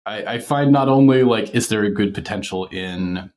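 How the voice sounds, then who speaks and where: A man's voice: lowish voice, moderate pace, darkish tone. A man speaking reflectively as an interview guest, articulate and thoughtful, often qualifying what he says. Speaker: podcast creator and writer, interview guest.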